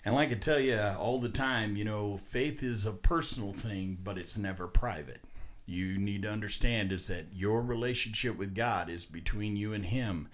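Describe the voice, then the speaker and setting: A man's voice: lowish voice, moderate pace. A man hosting a ministry interview, talking in a folksy, preacherly way. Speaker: ministry show host. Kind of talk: faith interview.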